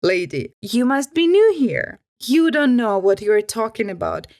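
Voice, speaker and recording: A woman's voice: on the high side, big pitch swings, a little slow, a little mellow. Woman doing a stubborn elderly woman customer. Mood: accusing. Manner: insistent, accusing.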